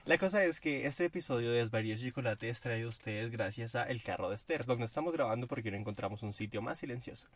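A young man hosting a podcast, talking in a loose, joking way with halting fillers, recorded inside a car. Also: mid-range voice. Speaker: podcast host. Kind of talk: casual podcast chat.

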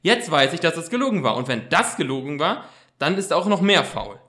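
A man's voice: fairly high pitch, sing-song, brisk, bright, forceful tone. A man playing an earnest, pedantic teenage boy detective with formal, precise wording in an amateur radio play.

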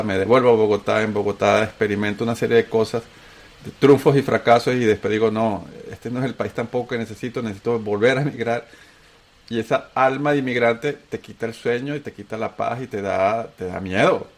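A Colombian-born man with a Venezuelan accent, hosting a casual talk show and telling his story with animated emphasis. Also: lowish voice, a little fast. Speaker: host. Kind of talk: casual chat.

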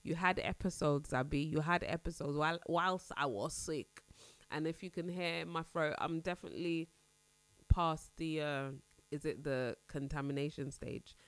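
A woman's voice: deep voice, normal speed, no echo. A woman in her thirties hosting a casual podcast, chatting candidly with a congested, nasal voice as she recovers from a cold. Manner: chatty, candid, rambling with restarts. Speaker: podcast host. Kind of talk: casual personal chat.

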